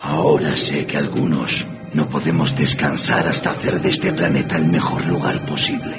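An actor playing the tormented, remorseful ghost of a dead businessman in a radio drama, solemn and lamenting with drawn-out emphasis. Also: a bass voice. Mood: mournful warning. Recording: dull muffled recording under loud music.

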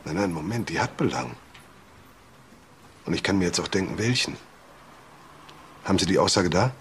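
An actor playing a shrewd, composed male detective with a dry, deliberate manner in a crime audio drama. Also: low voice, animated, a bit bright, slight background noise. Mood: dry, pensive. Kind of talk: naturalistic German radio drama acting.

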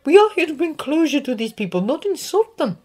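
A woman voicing a stern older female professor who speaks in a low, grave and measured way. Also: very animated, a little mellow. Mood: somber.

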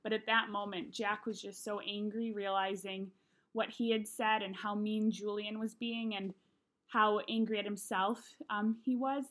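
A woman's voice: mid-range voice, a bit bright. A young woman reading a children's novel aloud as narrator, recorded in a small room at home. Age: young adult.

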